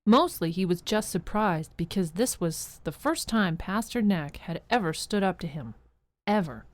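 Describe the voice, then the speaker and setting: A woman's voice: lowish voice, expressive, normal speed, dry recording. A woman narrator reading a scripted holiday story for a radio drama in a storytelling style. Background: music.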